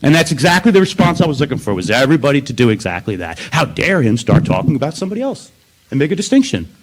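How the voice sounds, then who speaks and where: Man at a council mic, lively pitch, pushy and repetitive, public comment. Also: quick pace. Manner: emphatic, repetitive, confrontational. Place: council meeting chamber.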